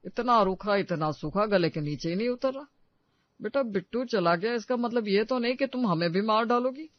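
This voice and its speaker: A man's voice: high-pitched, lively intonation, moderate pace, dry recording, muffled recording. A man playing a grumbling elderly father, complaining theatrically and sarcastically in a story. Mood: sarcastic disbelief. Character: grumbling elderly father who loves rich food. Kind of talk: Hindi audio story dramatization.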